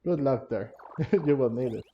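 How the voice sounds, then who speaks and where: Dull-sounding male gamer, halting commentary. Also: mid-range voice, slight background noise. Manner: halting, rambling. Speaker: gaming video host. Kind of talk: casual let's play commentary, reading game text aloud. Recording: dull lo-fi mic, faint game music. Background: game music.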